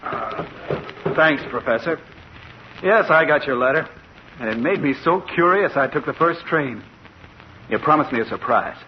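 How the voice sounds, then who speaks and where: An actor playing a curious, easily astonished doctor in a vintage radio drama, with a hesitant, wondering manner. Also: male voice, a little high, expressive, moderate pace, pauses often, close to an everyday voice. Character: curious, astonished male doctor visiting his old professor. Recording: muffled, hissy old radio recording.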